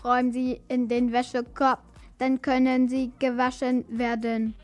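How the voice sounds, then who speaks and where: A female performer playing a stern, matter-of-fact household robot in a children's radio play, instructing in a deliberate way. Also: on the high side, completely flat delivery, soft background music, natural voice. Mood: stern, instructive.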